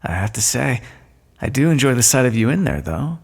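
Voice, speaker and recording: A man playing a smug, sardonic thief in an audio roleplay, speaking with mocking mock-courtly politeness and drawn-out emphasis in a long echo. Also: medium pitch, big pitch swings, creaky, husky, exaggerated voice. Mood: gloating. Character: smug, sardonic male thief, gloating at a fallen monarch. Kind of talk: scripted audio roleplay, theatrical monologue. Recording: long echoing reverb.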